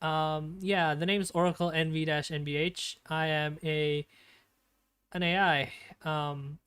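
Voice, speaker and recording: A man's voice: on the high side, fairly even intonation, takes it slowly, a bit bright, clean tone, dry recording. A man streaming and chatting casually, nervous and stammering, with many fillers, on a home desktop mic. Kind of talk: casual live-stream chat. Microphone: desktop streaming mic with noise gate.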